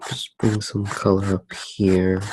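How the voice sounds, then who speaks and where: A soft-spoken man doing an unscripted ASMR demonstration, musing aloud in a hushed way as he works. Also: low-pitched, monotone, slow, background noise. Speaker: ASMR artist narrating own drawing.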